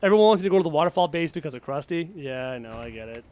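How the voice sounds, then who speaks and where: A young Canadian man streams a game live and chats with viewers, his voice on the high side for a man. He talks loosely, reads out questions, and breaks into frustrated outbursts at the game. A slight sickly cough hangs over his voice. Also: expressive, racing, a little mellow, no room sound. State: sick with a cough. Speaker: gaming streamer. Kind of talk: casual live-stream chat while playing.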